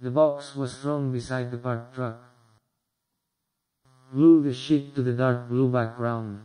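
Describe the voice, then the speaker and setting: A speech synthesizer's male voice reading test sentences, robotic and measured. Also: mid-range voice, steady tone, mellow, clean tone, natural voice, low-quality recording. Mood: flat.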